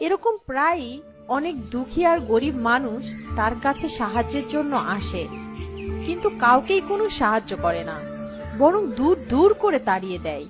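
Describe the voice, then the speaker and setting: A woman reads a children's folk tale as narrator. Her voice is high and swoops expressively, with music softly underneath. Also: big pitch swings, normal speed. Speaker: story narrator.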